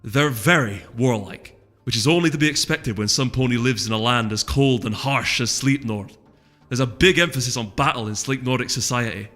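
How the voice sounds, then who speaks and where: A man's voice: mid-range voice, moderate pace, fairly bright tone, lightly acted. A man performs a young female storybook pony with a Scottish accent. She is bubbly, adventurous and eager to chatter, set in long reverb over music. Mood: eager lecturing.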